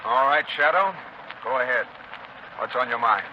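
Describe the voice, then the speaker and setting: An actor playing a brusque, impatient, blustering police commissioner in a 1930s radio drama. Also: male voice, mid-range voice, moderate pace, natural voice. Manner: brusque, exasperated, barking. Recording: muffled, noisy 1930s radio recording.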